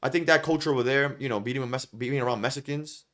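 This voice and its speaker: Fast-talking young man, rambling and hyped. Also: mid-range voice, fairly bright tone. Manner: fast, rambling, repeats himself, lots of filler. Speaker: podcast host. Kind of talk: casual chat.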